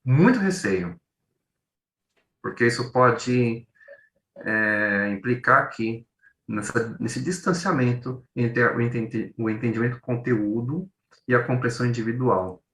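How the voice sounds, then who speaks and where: A man's voice: mid-range voice, unhurried, a bit bright, recorded from a distance. A Brazilian man with a São Paulo accent giving an informal academic presentation, conversational and often repeating his words as he explains. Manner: conversational, repeats words, illustrates with acted-out everyday examples. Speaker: co-presenter, journalist. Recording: roomy echo.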